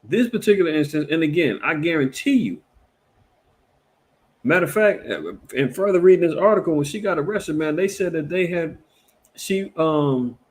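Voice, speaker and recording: A man, hosting casual reaction commentary in an animated, emphatic, rambling way, full of repeats and fillers. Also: on the high side, normal speed. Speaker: commentary show host.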